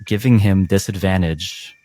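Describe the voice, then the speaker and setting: A man narrating a tabletop game as game master, measured and descriptive. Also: deep voice, noisy background.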